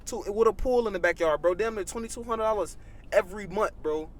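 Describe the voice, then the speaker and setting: A man's voice: high-pitched, quick pace. An eighteen-year-old man, talking animatedly in a casual podcast chat, tagging lines with "bro" and "you know what I mean".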